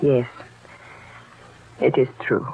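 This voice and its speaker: An actress playing an anxious, protective young daughter in a radio drama, speaking formally and earnestly. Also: female voice, drawn-out words, phone-quality sound. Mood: earnest, worried. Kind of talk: old-time radio drama acting. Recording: thin, faintly noisy old radio recording.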